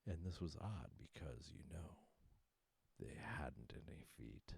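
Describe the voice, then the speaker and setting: A man giving a dramatic, deliberate recitation of a narrative poem in a theatrical manner. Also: very deep voice, lively intonation, creaky, darkish tone, husky, dry recording. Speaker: poetry reader.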